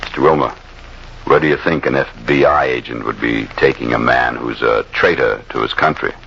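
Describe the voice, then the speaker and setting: Very deep, gravelly federal agent. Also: male voice, a little fast. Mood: triumphant. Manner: needling, accusatory. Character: hard, smug male FBI agent posing as lawyer. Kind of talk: old-time American radio drama acting. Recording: dull, noisy vintage radio recording.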